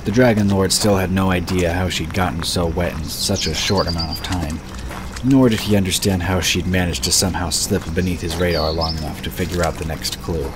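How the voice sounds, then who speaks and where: A man's voice: deep voice, fairly even intonation, a little fast. A man narrating a scripted fantasy story in the third person as the narrator of an audio drama. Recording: background noise of birds and crickets.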